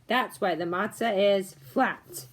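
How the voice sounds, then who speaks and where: A woman's voice: mid-range voice, slow pace. A woman voicing a kindly old grandfather who explains family traditions in a picture-book read-aloud. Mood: explaining.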